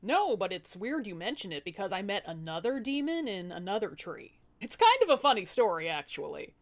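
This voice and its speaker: Casting: woman as hapless storybook husband, sheepish and bumbling, overdramatic, lots of pitch swings. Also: sing-song, a little fast. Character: hapless, sheepish young husband, rabbi's son.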